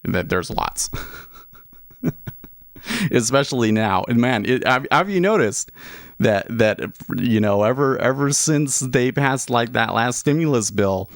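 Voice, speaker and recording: A man's voice: medium pitch, lively intonation, unhurried, no echo, studio quality. A man in his thirties hosting a day-trading livestream, chatting casually and rambling through restarts and asides. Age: 30s. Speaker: livestream host, day trader.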